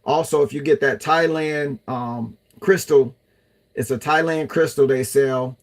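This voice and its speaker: A man's voice: medium pitch, fairly even intonation, a little slow. Chatty man reading live comments. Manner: chatty, loose, talks to viewers as y'all. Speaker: livestream host reading chat. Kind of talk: casual livestream chat.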